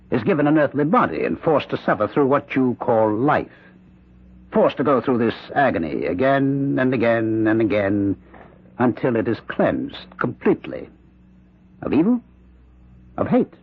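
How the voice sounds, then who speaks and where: A husky, sinister possessed prisoner. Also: male voice, on the low side, heavily acted. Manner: taunting, theatrical, oratorical. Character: sinister prisoner possessed by Jack the Ripper's spirit. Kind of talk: 1970s radio drama acting. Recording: dull lo-fi old radio recording.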